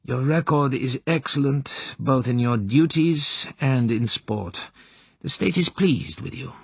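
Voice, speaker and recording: A man voicing a cold, domineering older female secret police colonel who talks in a smooth, commanding, falsely sweet way. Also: mid-range voice, slow, no room sound, pitched above the performer's own voice, full character voice.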